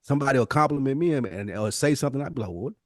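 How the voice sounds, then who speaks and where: Man, American accent, mid-pitched, dry recording, casual podcast talk, slangy, emphatic. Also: lively intonation, very fast, no room sound. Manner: emphatic, slangy, acts out imagined dialogue. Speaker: podcast co-host. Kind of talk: casual conversational podcast discussion.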